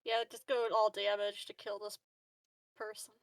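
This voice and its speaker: A woman's voice: medium pitch, flat delivery, normal speed, gravelly, background noise. A woman chatting casually as a player in a tabletop game, hesitant and thinking aloud.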